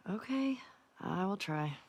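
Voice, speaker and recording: A woman's voice: medium pitch, slightly rough, heavily acted. An actress voicing a guarded, reluctant teenage girl in a video game. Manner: terse, reluctant.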